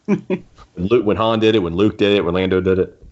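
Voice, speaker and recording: A man with a low voice talks casually as a podcast co-host. He rambles and cuts himself off in the easy style of a fan discussion. The sound is a little dull and lo-fi. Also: fairly quick, darkish tone.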